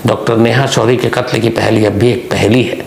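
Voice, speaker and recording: A man with a gravelly voice telling a true-crime story as a monologue, deliberately, posing rhetorical questions to the listener. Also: lowish voice, fairly even intonation. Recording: echoey room with faint background noise.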